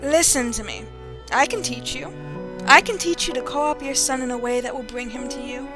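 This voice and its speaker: An actress playing a calm, mysterious spirit medium who speaks in a measured, ominous way in a vintage-style radio drama. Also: female voice, high voice, lightly acted. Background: music.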